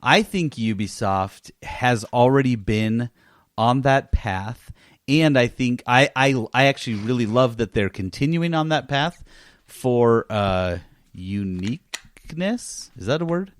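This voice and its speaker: A man's voice: mid-range voice, animated, takes it slowly, dry recording. Man, mid-range voice, gaming podcast co-host, easygoing casual chat, playful, dry close sound.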